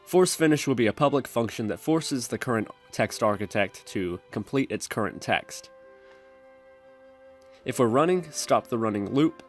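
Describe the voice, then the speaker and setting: A man narrates a programming screencast as a tutorial host. His voice sits at a medium pitch, and he gives step-by-step instructions with music playing behind him. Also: animated, moderate pace.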